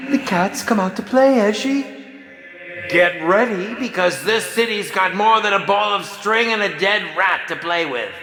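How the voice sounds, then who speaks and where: Game villain: gleefully cruel horror movie director, mocking and theatrical, slow, drawn-out delivery, music loud behind. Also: male voice, high voice. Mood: taunting glee. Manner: theatrical, mocking, swinging from hushed purr to shouts. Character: sinister flamboyant male horror film director villain, gleefully sadistic. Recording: echoey reverberant voice over loud music.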